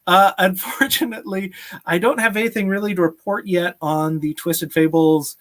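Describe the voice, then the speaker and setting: A man in his thirties with a somewhat high-pitched voice hosts a casual live stream. He talks in a friendly, appreciative manner, as if chatting with viewers and taking their questions from a small room at home. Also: fairly even intonation, moderate pace, fairly bright tone. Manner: friendly, appreciative, chuckles often.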